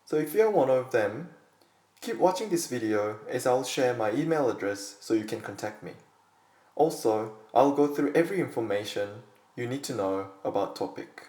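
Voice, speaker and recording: A man's voice: mid-range voice, normal speed. Man teaching in an echoey room. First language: Korean. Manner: steady, explanatory, addresses viewers directly. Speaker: Korean language teacher. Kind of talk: scripted online lesson.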